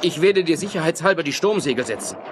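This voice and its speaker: Man acting a rugged skipper, higher-pitched voice. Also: animated, quick pace, close to an everyday voice. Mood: alarmed, urgent. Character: rugged amorous male yacht skipper, turning fearful. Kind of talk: German radio horror play acting. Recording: noisy ambience behind the voice. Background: clear ambient noise.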